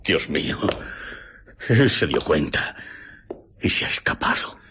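An actor playing an anxious, agitated doctor in a Spanish radio drama, urgent, theatrical and sometimes stammering. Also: male voice, a bass voice, sing-song, slow, background noise, full character voice. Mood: shaken, grave.

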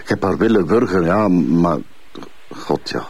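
A man acting as a middle-aged Flemish bailiff, a haughty father under questioning. His voice is extremely deep, full of fry and husky. He defends himself in halting, repetitive denials. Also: darkish tone, no echo, exaggerated voice. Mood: agitated, defensive.

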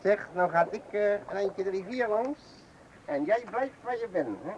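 A man's voice: high-pitched, lively intonation, normal speed, a little mellow, lo-fi sound, slight background noise. A man acting in a comic Dutch radio play as an exasperated, bossy angler who nags his companion. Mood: bossy, patronising. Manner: nagging, hesitant repetitions.

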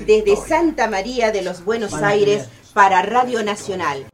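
A woman's voice: mid-range voice, animated, measured pace, crowd noise, natural voice. An Argentine woman playing a polite radio reporter at a colonial secret meeting in a radio play. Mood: attentive, formal.

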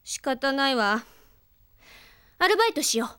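Brash, high-pitched young rocket pilot. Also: female voice, big pitch swings, moderate pace, a bit bright. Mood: offhand, pleading. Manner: brash, indignant, emphatic. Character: hot-tempered, stubborn young female rocket pilot. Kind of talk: Japanese comedy audio drama acting.

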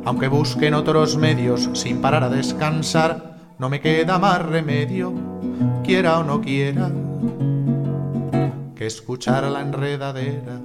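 A man's voice: fairly high pitch, flat delivery, unhurried, pauses often. A man performing a rhymed radio theme song with guitar. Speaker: singer of the show's theme tune. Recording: loud guitar music with the voice. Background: guitar music.

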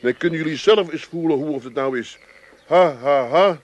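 A man's voice: a little high, normal speed, lightly acted. An actor with an Amsterdam accent playing a harried, practical man who fusses and repeats his words in a comedy radio play. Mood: exasperated.

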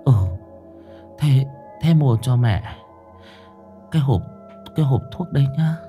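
A man's voice: medium pitch, measured pace, mellow, soft background music, natural voice. A man voicing a nervous elderly widowed mother, who stammers and exclaims. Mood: shaken.

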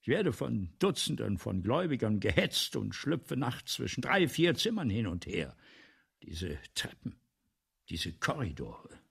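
An imperious, failing man of the theatre in a radio drama. His voice is badly rasping and creaky, and it lurches from muttered asides to theatrical tirades. He is vain, agitated and rambling, with very lively pitch. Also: measured pace, heavily acted. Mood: self-important. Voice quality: husky, gravelly. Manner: theatrical, erratic, swinging from muttering to outbursts. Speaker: radio play actor. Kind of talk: 1970s German radio play acting.

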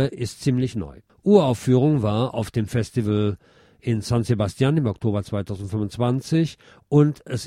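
A man presenting a scripted film review as a radio host, measured and articulate in his delivery. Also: mid-range voice, dark tone, no echo, studio quality.

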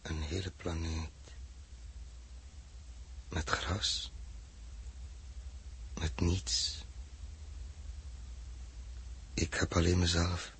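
An actor playing a lonely, brooding man lost on an empty planet, speaking a husky, slow, solemn monologue in 1960s Dutch radio drama style. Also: deep voice, level tone, fairly bright tone, full character voice.

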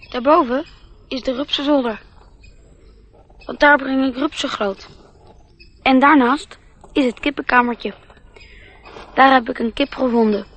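A woman's voice: on the high side, fairly bright tone. A woman playing a dreamy, earnest little boy in a Dutch radio play, speaking in a childlike way. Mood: eager, explaining.

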